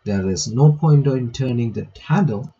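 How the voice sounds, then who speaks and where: A man's voice: mid-range voice, unhurried, warm tone, dull audio. A man reading visual novel text aloud with casual commentary, breaking off to remark and reread lines. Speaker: gaming reaction video maker.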